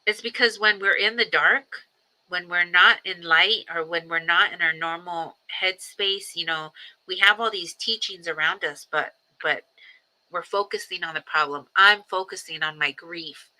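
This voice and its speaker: A woman in her forties talks in a casual, reflective livestream, circling back on herself with ums and small restarts. Her voice sits a little low but has a very bright, edgy sheen. She stays earnest and steady even when she speaks of grief. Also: normal speed, poor audio. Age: 40s. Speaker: livestream host.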